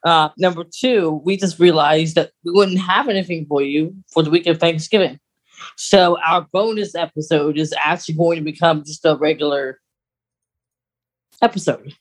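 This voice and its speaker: Higher-pitched man chatting casually. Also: normal speed, rough recording. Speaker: podcast co-host. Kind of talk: casual podcast chat.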